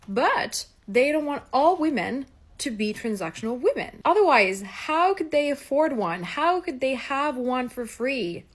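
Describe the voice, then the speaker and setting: A woman giving a preachy, emphatic rant to camera for social media. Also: fairly high pitch.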